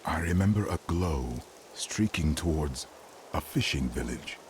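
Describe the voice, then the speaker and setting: A solemn, mysterious stranger from a fantasy game. His voice is very low and brooding, with formal, halting phrasing over clear rain noise. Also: animated. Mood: brooding, uncertain. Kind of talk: fantasy video game acting.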